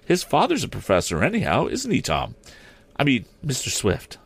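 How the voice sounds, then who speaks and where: A man voicing a shy, eager schoolgirl who speaks in a pleading, hesitant, hushed way. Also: mid-range voice, wildly swooping pitch, moderate pace, creaky, slightly rough, voice pushed up, heavily acted. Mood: flustered.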